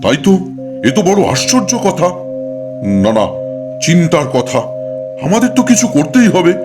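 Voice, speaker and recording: A man's voice: high-pitched, very animated, normal speed, music in the background. A man playing a worried, testy old village father-in-law in a folk-tale cartoon, fussy and exasperated. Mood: puzzled, alarmed.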